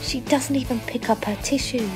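A woman's voice: a little high, steady tone, normal speed, natural voice. A young woman playing a sardonic narrator of a comic fairytale, wry and knowing in her scripted narration. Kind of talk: scripted storybook-style narration. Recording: music bed under voice-over.

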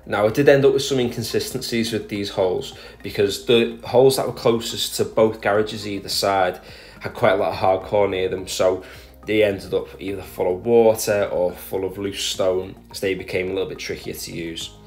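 A man's voice: on the low side, steady tone, moderate pace, soft background music. A man narrating a voice-over about his garden project, explaining his steps in a plain, methodical way with speech and small restarts. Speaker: garden DIY vlogger.

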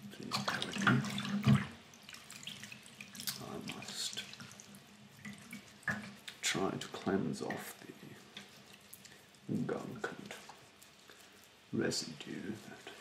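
A young man playing a rude, snobbish facial therapist in a soft-spoken, often whispered ASMR roleplay, with a haughty, halting delivery. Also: low voice, gravelly, reverberant, noisy background, exaggerated voice. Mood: disdainful, condescending. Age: young adult. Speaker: ASMR performer. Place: small bedroom, indoors. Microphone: close to the microphone.

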